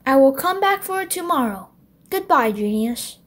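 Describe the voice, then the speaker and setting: A woman's voice: high-pitched, very animated, moderate pace. A woman voicing a confident, admiring female circle creature in a children's storybook, assured and effusive. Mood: breezy, bossy. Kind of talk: children's storybook read-aloud.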